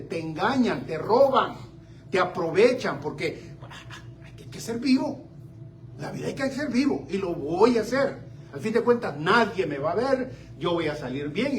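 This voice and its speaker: An older man from Central America preaching a sermon, swinging between loud exclamations and quiet asides. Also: high-pitched. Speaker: pastor. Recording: slightly distant mic with faint room noise.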